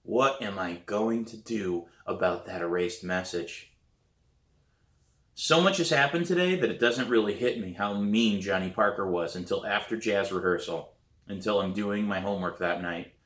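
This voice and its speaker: Low-voiced man narrating with lively swings. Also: normal speed. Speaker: author reading own novel. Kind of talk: expressive storybook narration. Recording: roomy echo.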